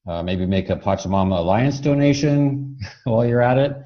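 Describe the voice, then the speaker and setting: A man's voice: medium pitch, expressive, normal speed. A man hosting a conversational interview, speaking in an effusive, appreciative way. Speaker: interview host. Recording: dull, muffled lo-fi audio.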